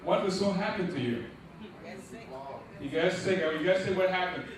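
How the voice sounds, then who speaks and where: A man's voice: sits high, moderate pace. A middle-aged man gives a preacherly motivational speech to a crowd, asking them questions and building to emphatic peaks. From Liberia. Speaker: nonprofit founder giving a launch speech. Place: large echoey event hall. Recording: echoey hall, distant mic, noisy.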